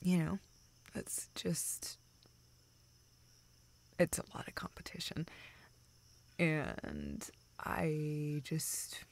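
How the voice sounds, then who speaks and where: Gravelly, slow-talking self-deprecating young woman, acted by a woman. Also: sits low, whispered, full character voice. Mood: deflated, awkward. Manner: hesitant, halting, deadpan joking. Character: self-deprecating, dryly sarcastic young woman confiding in a close friend. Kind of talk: intimate audio roleplay, naturalistic acting.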